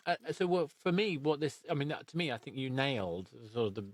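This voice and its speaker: A man's voice: medium pitch, very animated, brisk. A man hosting a casual interview, encouraging and affirming as he talks. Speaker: podcast host, interviewer.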